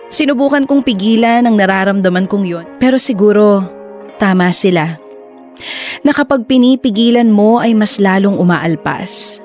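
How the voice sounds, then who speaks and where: A reflective man in his late twenties, tells his own love story as radio drama narration. Faint music runs under the voice. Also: high-pitched.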